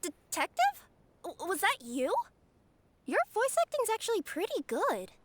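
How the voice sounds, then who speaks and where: A woman playing an excitable, cheeky young girl in a game, with a blunt, exclamatory delivery. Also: extremely high pitch, normal speed, full character voice. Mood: astonished. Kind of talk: scripted game voice acting. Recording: music under the voice.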